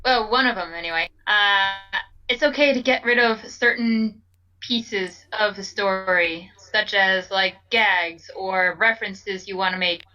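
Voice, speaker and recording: A woman in a casual group reaction chat, halting and searching for her words as she makes a point. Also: mid-range voice, pushed and bright, distant mic, rough recording.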